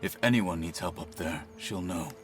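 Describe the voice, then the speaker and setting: Actor as proud, steadfast warrior marshal over music. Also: male voice, low-pitched, normal speed, natural voice. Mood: calm, resolute. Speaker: video game character. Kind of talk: dramatic game dialogue.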